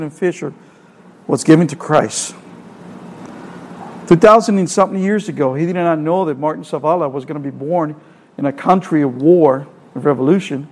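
A man's voice: a little high, normal speed, pauses often, darkish tone. A middle-aged man from El Salvador with a Salvadoran Spanish accent, preaching a sermon earnestly, asking rhetorical questions and repeating phrases.

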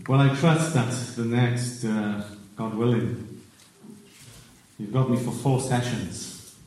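A man's voice: medium pitch, takes it slowly, darkish tone, a little hiss or hum. A man from South Wales with a Welsh accent, preaching a sermon as a visiting preacher, earnest and building to emphatic declarations. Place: church sanctuary. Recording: echoey church from far off.

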